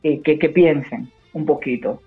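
A woman's voice: low voice, a little slow, slightly rough, faint music behind. A woman from Cuba, a war correspondent and nurse, speaking emphatically and with urgency in long turns during a phone interview. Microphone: phone call. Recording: tinny phone line.

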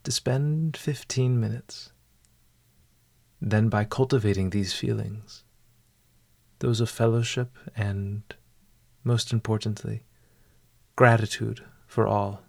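A man narrating a guided meditation in a formal, measured, literary manner. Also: fairly low pitch, vocal fry, no echo.

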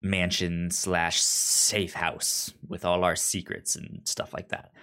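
A man co-hosting a casual book discussion, talking haltingly and stumbling over the starts of words. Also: sits low, level tone, bright, forceful tone, smooth. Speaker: podcast co-host.

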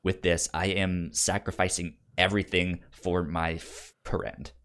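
A man co-hosting a casual film debate, stuttering on syllables and words as he talks in an animated way. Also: low voice, unhurried. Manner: animated, acts out mock quotes. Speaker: podcast co-host debating films.

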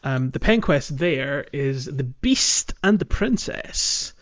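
A man's voice: medium pitch, animated, slow, no echo, highs cut off. A man co-hosting a podcast, chatting casually about a game in a hesitant, meandering way. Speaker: podcast host. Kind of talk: casual game playthrough chat.

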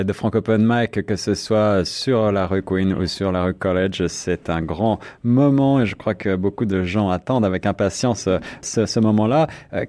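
Low-voiced French radio host. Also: male voice, darkish tone. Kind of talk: radio interview. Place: radio studio.

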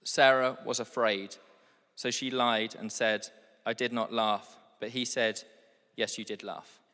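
Bright-voiced man reading scripture in a hall. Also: medium pitch. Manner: steady, measured reading. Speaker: scripture reader. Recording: hall with long reverb.

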